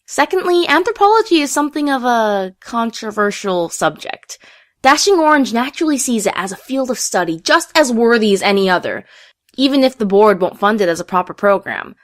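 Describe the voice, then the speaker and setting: A woman's voice: fairly high pitch, very animated, fairly quick. A woman playing an enthusiastic, theatrical young female unicorn who explains things eagerly, in a fan audio drama. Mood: eagerly explaining.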